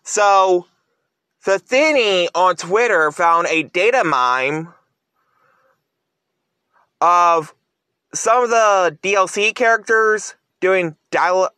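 Halting male vlogger, bright sharp voice. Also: high-pitched, lively intonation, clear, resonant tone, no echo. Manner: halting, stop-and-start delivery. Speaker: YouTube vlogger. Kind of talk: casual video commentary.

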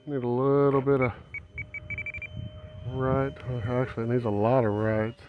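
A man's voice: steady tone, unhurried, narrow, tinny audio. A man giving a casual hands-on review and commentary as a hobbyist host outdoors at a flying field. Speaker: RC plane hobbyist, vlog host. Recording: noisy outdoor camera audio, engine rumble. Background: outdoor ambience and motor noise.

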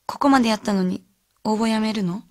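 A woman's voice: medium pitch, brisk, a little mellow, no echo, studio quality, close to an everyday voice. A woman playing an urgent, loyal teenage schoolgirl in a radio drama.

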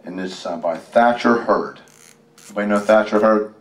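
American guy reading a kids book out loud, lowish voice, chatty n jokey, echoey room. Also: normal speed. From United States, Western Pennsylvania. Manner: joking, folksy, chatty. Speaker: entertainer reading a picture book aloud to a library audience. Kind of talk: casual storytime read-aloud with ad-libbed asides. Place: library room, live event. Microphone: handheld microphone held by a helper. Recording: roomy, distant from the microphone.

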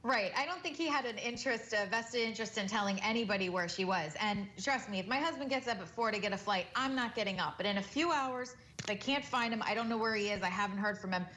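A woman's voice: medium pitch, fast, bright, forceful tone, poor audio. A woman in her forties, a family law attorney joining a TV show from a home study, speaking frankly and conversationally. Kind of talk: TV legal commentary. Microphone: video call.

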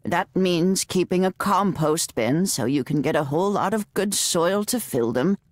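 A woman playing a feisty but kindly elderly witch grandmother in a video game, with a folksy, wry, unhurried manner. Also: fairly low pitch, expressive, no echo, clean studio sound. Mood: gentle, folksy.

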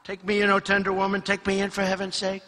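A man's voice: high-pitched, completely flat delivery, quick pace, sharp tone. A man voicing a sly, pleading, vicious snake in a dramatic verse fable, in a reverberant hall.

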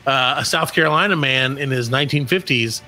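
A man's voice: medium pitch, brisk, pushed and bright, faint music behind. A middle-aged man co-hosting a comedy podcast over a video call, reading odd news stories aloud in a joking, self-mocking way. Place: dim room at home.

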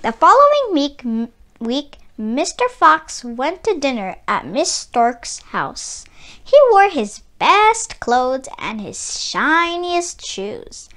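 A woman narrating a children's storybook aloud in an expressive read-aloud style. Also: high voice, slow.

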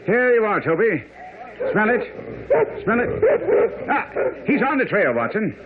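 An actor playing a brisk, confident, keen detective who lectures incisively in a radio drama. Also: male voice, sits high, big pitch swings, over a noisy crowd, heavily acted. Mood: eager. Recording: phone-like, faintly noisy old radio broadcast.

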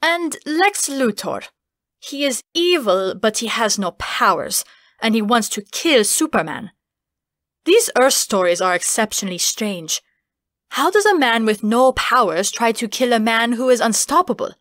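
A woman's voice: fairly high pitch, lively intonation, measured pace, a bit bright, dry recording, studio quality. A woman voicing a curious young fantasy man in an audiobook, formal and fascinated. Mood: fascinated, puzzled. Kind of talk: audiobook character acting.

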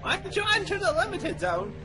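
A man's voice: very high voice, very animated, moderate pace, music in the background, pitched above the performer's own voice. A man reading game dialogue aloud as an old male guide who lectures on dungeon rules, theatrical and hammy. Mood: lecturing. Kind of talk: voicing game dialogue over a playthrough.

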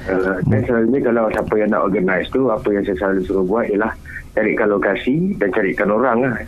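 A man's voice: on the low side, fast talker, crowd noise. Malaysian man on a muffled phone line. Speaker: YouTuber and workshop trainer. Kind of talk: casual phone conversation, mixing in English words. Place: on a phone call, broadcast on radio.

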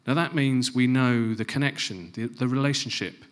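A middle-aged man giving a conference presentation on a large stage into a handheld mic, stressing key phrases and dropping to quieter asides. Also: medium pitch, normal speed. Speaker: conference speaker. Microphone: handheld microphone.

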